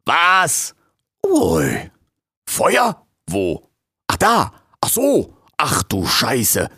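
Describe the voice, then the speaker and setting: A man's voice: fairly low pitch, lively intonation, moderate pace, a bit bright, no echo, studio quality. A man voicing a cheeky male raccoon intern who grumbles in street slang. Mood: startled.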